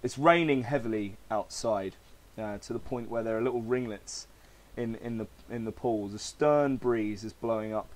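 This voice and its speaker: A man's voice: lowish voice, normal speed, a little mellow. A man hosting a podcast, chatting casually and reading aloud in an informal, hesitant manner, his throat slightly crackly. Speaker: podcast host.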